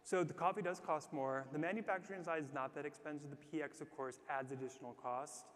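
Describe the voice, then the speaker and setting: Fast-talking man answering questions on stage. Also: on the high side, fairly even intonation, a bit bright. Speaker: startup founder answering questions. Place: large theatre stage before live audience. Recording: long reverberant theatre hall.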